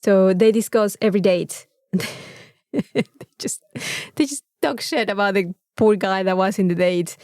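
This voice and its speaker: A Mexican woman, a native Spanish speaker, co-hosts in a casual, chatty way. Her voice sits at a middle pitch. She tells her stories with short repeated interjections, recorded dry and close. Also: unhurried, darkish tone, no room sound. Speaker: podcast co-host. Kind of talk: casual chat.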